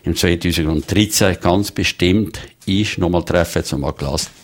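Hesitant Swiss German older man, deep. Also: fairly even intonation, measured pace. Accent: Swiss German, Valais. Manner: hesitant, many fillers and restarts, chuckles. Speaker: guest, early retiree. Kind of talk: studio interview.

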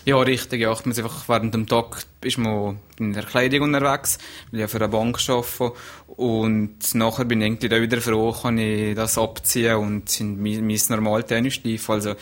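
A man's voice: lowish voice, little pitch movement, normal speed. Swiss German man, hesitant guest. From Switzerland. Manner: hesitant, many restarts. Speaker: interview guest. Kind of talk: casual radio interview.

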